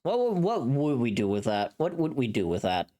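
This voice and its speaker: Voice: medium pitch, big pitch swings, moderate pace, mellow. A livestreamer talks casually in a middle-register voice. The delivery is unscripted, thinking aloud and judging each take as it goes. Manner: thinking aloud, self-critiquing. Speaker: streamer recording voice lines.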